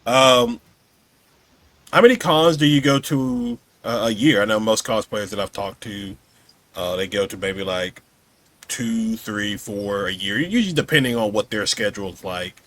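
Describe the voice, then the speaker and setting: A man's voice: lowish voice, a little slow, fairly bright tone. A man hosting a podcast, asking questions in a casual, conversational interview style. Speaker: podcast host.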